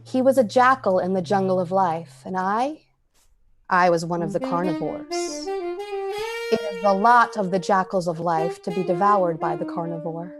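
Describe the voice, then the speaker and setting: A woman playing a cold, predatory poisoner who narrates an old-time radio drama in a measured, dramatic way. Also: fairly low pitch, expressive, over music. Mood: cold, gloating. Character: cold, predatory, calculating poisoner telling the tale.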